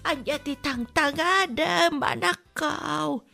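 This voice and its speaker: A woman's voice: high voice, takes it slowly, creaky, bright, forceful tone, heavily acted. A woman playing a nagging, ailing elderly mother in an Ilocano radio comedy drama, with an Ilocano accent. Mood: prodding. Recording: music under the voice.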